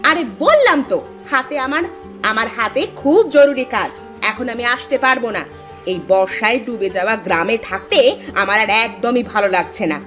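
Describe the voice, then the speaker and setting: Woman acting a high-voiced cartoon village wife. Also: expressive, normal speed, a bit bright. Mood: annoyed, complaining. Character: village wives, grumbling housewife and poor humble stove seller. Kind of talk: Bengali fairy-tale cartoon voice acting. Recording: music under the voice.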